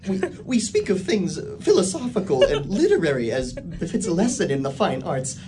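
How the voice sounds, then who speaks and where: Timid male schoolmaster for radio play, played by a man: stammering, prim, wildly swooping pitch. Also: very animated, moderate pace. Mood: flustered, evasive. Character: timid, bookish, flustered male village schoolmaster.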